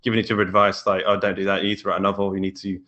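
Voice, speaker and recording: British male podcast guest, low-ish voice with bright, forceful edge, casual interview chat. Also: completely flat delivery, very fast, muffled recording. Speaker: podcast guest, indie author.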